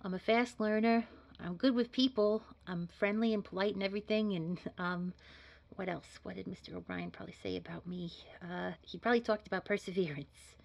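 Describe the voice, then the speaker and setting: A woman's voice: medium pitch, fairly quick, creaky, darkish tone. A woman playing an earnest, modest job candidate in an audio drama, talking in a chatty, hesitant, polite way. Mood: eager, modest. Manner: hesitant, rambling, informal, polite. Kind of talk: naturalistic scripted audio drama dialogue.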